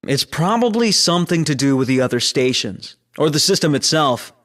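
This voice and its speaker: An actor playing a skeptical, businesslike space station operator in a sci-fi audio drama. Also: male voice, on the high side, big pitch swings, normal speed, a bit bright. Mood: dismissive.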